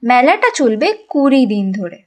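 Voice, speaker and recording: A woman narrating a cartoon story in a lively, sing-song way. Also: high voice, moderate pace.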